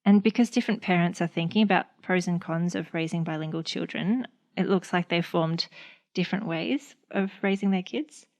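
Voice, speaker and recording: A woman's voice: fairly low pitch, moderate pace. A young woman with an Australian accent, a speech pathologist chatting casually on a podcast with many fillers. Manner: relaxed, lots of fillers and "like".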